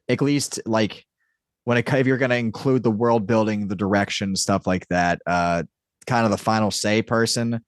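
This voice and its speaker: Male interview guest, lowish pitch, dry sound, casual and rambling explainer. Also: clear, resonant tone, no room sound. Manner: rambling, explanatory, peppered with "like" and "you know". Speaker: podcast guest, audio drama creator and writer.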